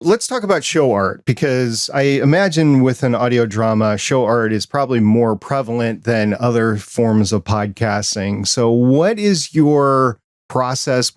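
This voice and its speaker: A male podcast host with a middle-pitched voice keeps things conversational as he asks his guest open questions. The recording is dry, with no trace of the room around him. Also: no room sound. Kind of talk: casual interview chat.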